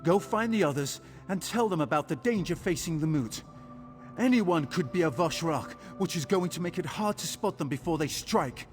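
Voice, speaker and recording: A man playing a helpful, excitable adventuring ally in a fantasy video game. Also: a little high, moderate pace, natural voice. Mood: eager, urgent. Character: male amulet-wearing ally, alarmed but helpful conspirator. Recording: long reverb, music underneath.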